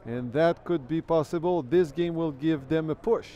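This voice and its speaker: A man's voice: fairly high pitch, moderate pace, mellow. A man whose first language is French, doing live commentary on a bowling event. He talks in a chatty way, thanks viewers, and repeats words while he thinks. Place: bowling alley.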